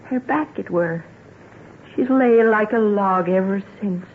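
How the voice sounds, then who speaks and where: A woman's voice: mid-range voice, slow, a little hiss or hum. Sorrowful woman, tinny old radio. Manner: earnest rustic dialect storytelling. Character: plain-spoken sorrowful country woman, devoted to her family. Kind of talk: old-time radio drama acting.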